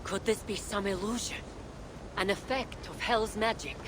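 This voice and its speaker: A devoted goddess, played by a woman speaks with grave, anxious earnestness in a medium-pitched voice. The game drama delivery sits over loud background noise. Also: fairly even intonation, moderate pace, close to an everyday voice. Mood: worried, pleading. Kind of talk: dramatic video game cutscene acting.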